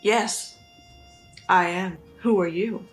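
A woman's voice: on the low side, fairly even intonation, slow pace, faint music behind. Woman playing a curt, guarded female traveller. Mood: defiant. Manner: curt, pointed, formal.

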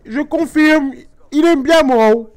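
Bernadette, a man doing a very high-voiced Guadeloupean mom. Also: slow. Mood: chatty. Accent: Guadeloupean French. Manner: rambling, earnest, mock-naive explanations. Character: chatty middle-aged Guadeloupean mother, naive about games. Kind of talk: comic gameplay commentary in character.

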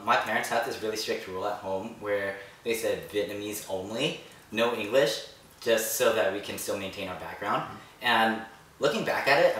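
A young man speaks earnestly and steadily, with the odd um. His voice is fairly low but bright. Heavy room echo and a distant mic with faint hiss surround him. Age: young adult. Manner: earnest and steady, with filler ums. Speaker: panel guest. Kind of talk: casual chat. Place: echoey living room indoors. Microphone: far camera mic.

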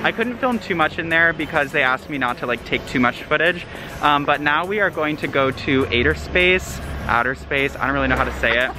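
A man's voice: fairly high pitch, animated, fairly quick, over music. A young man walking through city streets, chatting casually to a handheld camera. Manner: upbeat, chatty, frequent ums. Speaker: vlogger. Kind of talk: casual vlog narration. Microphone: camera held at arm's length.

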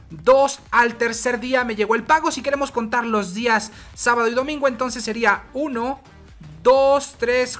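A woman, the channel host, calmly walking the viewer through a screen-recorded tutorial and speaking directly to them. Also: a little high. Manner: steady, explanatory, addresses viewer directly.

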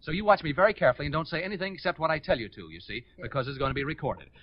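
An American man hosting a live 1940s radio game show with excitable, hurried patter from the stage. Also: fairly high pitch. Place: on stage before a studio audience. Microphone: stage microphone. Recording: dull 1940s radio recording.

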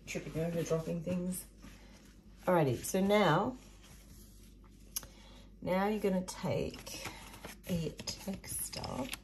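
Woman, hoarse croaky voice, dark mellow tone, chatty craft tutorial. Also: lowish voice, takes it slowly, pauses often, slight background noise. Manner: chatty, muttering asides while working. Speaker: craft video host. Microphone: overhead camera above a table, hands only.

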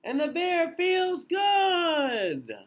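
A man in his thirties reading a children's picture book aloud in an expressive, sing-song way with drawn-out phrases. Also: extremely high pitch, raised voice. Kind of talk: children's storybook read-aloud. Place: small indoor room.

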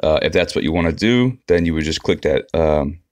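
A man's voice: extremely low pitch, lively intonation, brisk, darkish tone, dry recording. A man giving a casual, unscripted walkthrough of an app as a tutorial presenter.

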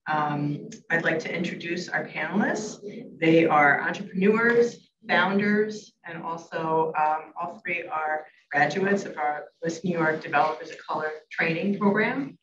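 A woman moderating a panel discussion, introducing the speakers in a brisk, businesslike way. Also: sits low. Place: conference room. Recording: roomy, muffled sound from a distance with steady noise.

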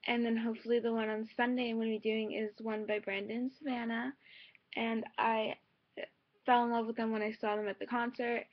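Young female vlogger, slightly high voice, informal rambling chat, muffled lo-fi laptop recording. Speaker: amateur singer and vlogger. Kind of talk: casual chat to viewers. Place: bedroom, indoors. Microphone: laptop's built-in mic at arm's length. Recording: dull lo-fi laptop microphone.

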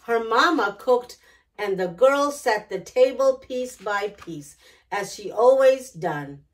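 Slow-paced woman reading a children's story. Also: fairly high pitch, lively intonation. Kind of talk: children's picture book read-aloud. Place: small decorated indoor storytime set.

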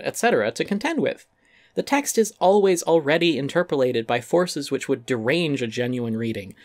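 A man's voice: fairly high pitch, no echo, studio quality. A man giving an articulate, emphatic and wry scripted reading of an essay as its narrator.

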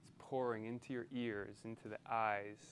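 A man leading a guided meditation in a soothing, deliberate manner, pausing between short phrases. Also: lowish voice, flat delivery, a little hiss or hum.

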